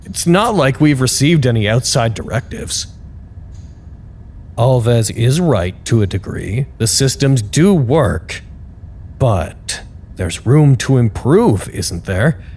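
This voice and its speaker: A man's voice: medium pitch, sing-song, takes it slowly, darkish tone, a little hiss or hum. A man playing an earnest, candid site staffer in an audio drama, speaking a reflective and wryly formal log to unseen superiors. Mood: candid, hopeful.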